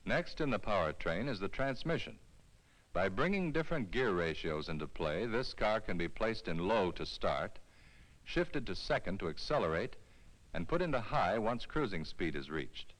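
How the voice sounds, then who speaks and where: Man, lower pitch, animated intonation, measured instructional narration, vintage classroom film sound. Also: poor audio. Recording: 1970s educational film soundtrack.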